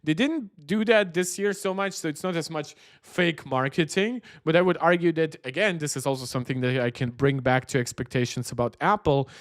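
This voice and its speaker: A man with a slightly high voice hosts a tech livestream. He talks conversationally and gives his opinions straight to viewers. The sound is close and dry. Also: a little fast, few pauses, no echo. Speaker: tech channel host. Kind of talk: livestream chat and reaction commentary.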